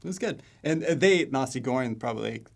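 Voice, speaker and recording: A man joins a podcast chat as a guest. He answers in short, laid-back remarks and sometimes drops quite soft. His pitch sits in the middle range. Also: expressive, fast, vocal fry. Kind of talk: casual chat.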